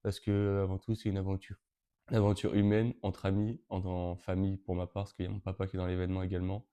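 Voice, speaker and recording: Young man from Brittany, late teens, flat low delivery, halting with many restarts. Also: clean tone. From Brittany, France. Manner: hesitant, frequent fillers and restarts. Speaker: interviewee, event co-organizer. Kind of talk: casual interview answers.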